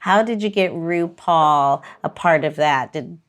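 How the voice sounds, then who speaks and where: A woman's voice: low-pitched, unhurried. A woman hosting a podcast, interviewing in a casual, chatty way. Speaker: podcast host.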